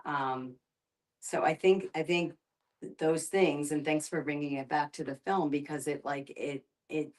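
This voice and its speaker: A woman speaking reflectively in a group discussion, phrasing her thoughts in a halting, considered way. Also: sits low, fairly even intonation, a little mellow, far from the mic. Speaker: guest facilitator and documentary filmmaker.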